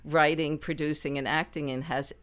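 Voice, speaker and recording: Low-voiced woman, lively storyteller. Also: moderate pace. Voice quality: low speaking voice. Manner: lively, laughs often, animated asides. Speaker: interview guest, performer. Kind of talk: conversational storytelling interview.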